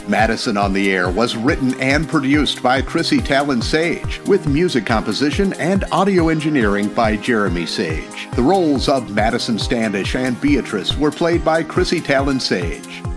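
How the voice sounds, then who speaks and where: A man playing a formal old-time radio announcer, reading scripted narration in a measured official style. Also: mid-range voice, animated, music in the background, close to an everyday voice.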